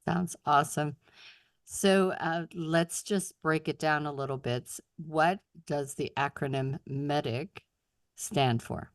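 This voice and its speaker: A woman hosting a podcast interview, asking measured questions. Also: sits low, steady tone, no echo, clean studio sound. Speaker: podcast host.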